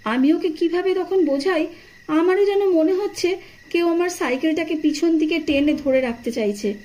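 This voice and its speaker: A woman narrating a first-person horror story in an expressive, suspenseful, dramatic scripted style. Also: extremely high pitch, normal speed. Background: atmospheric sound effects.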